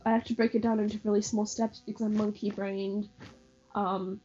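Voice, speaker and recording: A young woman chats in a rambling, self-deprecating way in her living room, sounding slightly stuffy from hay fever. Her voice is soft and mellow. The recording is a bit dull, with faint music underneath. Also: medium pitch, flat delivery, normal speed, slightly rough. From northern England. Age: young adult. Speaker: vlogger. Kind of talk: casual chatty vlog.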